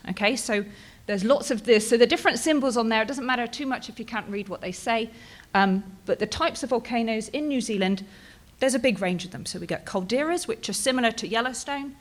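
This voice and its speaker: British woman in her 30s, fairly high voice, relaxed conference presenter, chatty with filler words. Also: brisk, hardly any pauses. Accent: British English. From England, Bristol. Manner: casual and chatty, frequent ums and tag questions. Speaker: postdoctoral researcher presenting.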